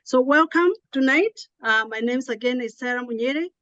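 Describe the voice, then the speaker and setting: Host: woman, slightly high voice, earnest, hesitant, dry muffled call audio. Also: moderate pace, a bit bright, no room sound. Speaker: event host. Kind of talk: opening remarks at an online session. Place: home in New Jersey.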